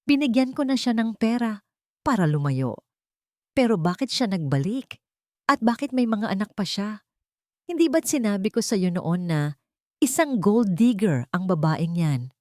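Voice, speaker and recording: A woman's voice: medium pitch, sing-song, measured pace, no room sound, clean studio sound, voice pushed up. A woman voicing a domineering rich older mother, scornful and accusing, in a dramatic radio-drama story. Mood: accusing, indignant. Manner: sharp, disdainful, accusing.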